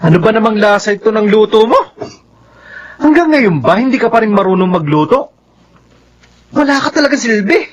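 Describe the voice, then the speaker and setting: An actor playing a curt, domineering younger brother and husband in a Filipino radio drama. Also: male voice, sits high, very animated, a little fast, pauses often, lo-fi sound. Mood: scornful, scolding.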